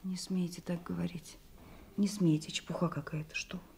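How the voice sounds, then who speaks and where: A woman's voice: on the low side, level tone, moderate pace, vocal fry, dark tone. An actress playing a sensitive, anxious woman shyly in love in a Russian radio play, impulsive and emotional. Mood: hurt, flustered.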